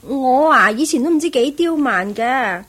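An actress playing a cheery, chatty young wife in a radio drama, with a giggly, exclamatory manner. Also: female voice, sits high, animated, measured pace, a bit bright, breathy edge, heavily acted. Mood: cheerful. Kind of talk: Cantonese radio drama acting.

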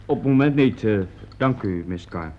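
An actor playing a dutiful police assistant in a radio play, formal and deferential. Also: male voice, medium pitch, expressive, normal speed, lightly acted. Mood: businesslike. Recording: dull, faintly noisy old radio recording.

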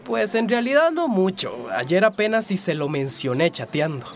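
Un actor que interpreta en una radionovela a un hijo adolescente, entusiasta, que ruega con titubeos. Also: male voice, high voice, sing-song, normal speed. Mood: playful. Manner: hesitant, pleading, repeats himself. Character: eager teenage son about to finish school. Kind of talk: radio drama acting.

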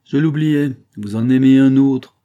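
A man's voice: on the low side, moderate pace, warm tone, muffled recording, lightly acted. Man acting a sneering, bitter, bullying husband. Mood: bitter, angry. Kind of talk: dramatised audiobook reading.

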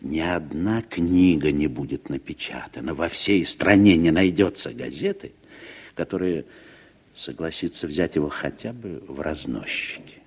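An actor playing a blustering, uneasy American businessman in a Soviet radio drama, husky and theatrical, hesitant and then emphatic. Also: very deep voice, expressive, measured pace, exaggerated voice. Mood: grave, warning.

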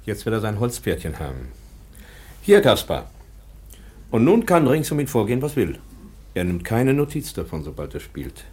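A man playing a kindly, patient professor in a radio drama, explaining things gently and simply. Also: on the low side, wildly swooping pitch, normal speed, long pauses. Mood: earnest. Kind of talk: German radio drama acting.

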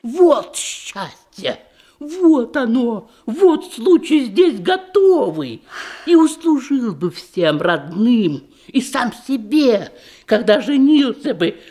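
An actress playing an old provincial widow, a bossy, effusive matchmaker in a verse radio comedy, with folksy, exclamatory delivery. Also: female voice, on the high side, very animated, warm tone, full character voice. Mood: delighted, scheming. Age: older. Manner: exclamatory, folksy, drawn-out declamation.

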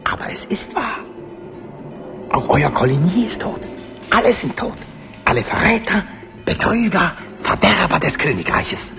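A man's voice: high voice, very animated, measured pace, gravelly, long pauses, over loud music, exaggerated voice. An actor playing an unstable young French king in a German radio drama, frantic, menacing and self-pitying, lurching from muttering to shrieking. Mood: bitter, ranting.

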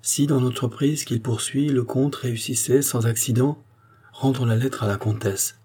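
Man voicing an anguished, imperious queen, husky and heightened. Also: mid-range voice, steady tone, moderate pace, dark tone, pitched above the performer's own voice, lightly acted. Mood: breathless anguish. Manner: breathless, imperious, emphatic. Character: proud, jealous, anguished queen, imperious and feverish. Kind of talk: dramatic audiobook character acting.

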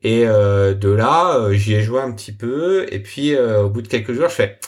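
A young adult man with a somewhat low voice talks through how he built his project. He hesitates a lot, filling gaps with small sounds and restarting his phrases. The tone is relaxed, like a casual interview. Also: normal speed. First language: French. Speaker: software developer guest.

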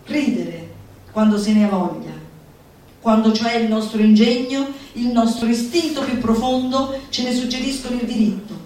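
Casting: actress as zealous female lecturer, high-pitched, theatrical, pompous and emphatic; live stage sound in a very echoey space. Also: darkish tone, close to an everyday voice. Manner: emphatic, pompous, mock-solemn. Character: zealous female lecturer preaching gleeful laughter at misfortune. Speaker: stage performer. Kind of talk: theatrical declamation of a comic manifesto. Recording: roomy echoing live venue, distant mic, faint noise.

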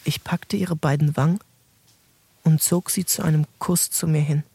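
A man narrating a literary audiobook in a calm, measured storytelling voice. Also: on the high side, creaky, heavy background noise.

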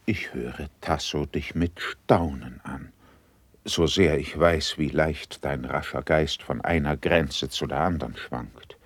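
A man's voice: extremely low pitch, no echo, full character voice. An actor playing a grave, composed, patient statesman, speaking solemn verse in a classical radio play. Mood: stern, astonished. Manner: measured, solemn, declamatory.

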